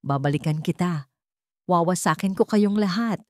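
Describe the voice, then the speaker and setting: A woman's voice: lowish voice, moderate pace, darkish tone, no room sound, natural voice. A woman acts out a wealthy old patriarch in an audio drama, stern and domineering. The delivery is bitter and threatening, recorded close and dry. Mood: outraged, threatening. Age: older. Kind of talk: dramatic Tagalog audio story.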